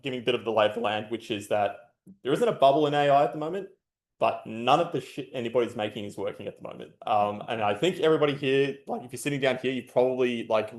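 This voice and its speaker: Loud man talking in an echoey hall. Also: mid-range voice. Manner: loose and blunt, swears, many filler sounds. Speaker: conference speaker. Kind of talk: casual conference talk. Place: large conference hall. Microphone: podium microphone. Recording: roomy hall echo.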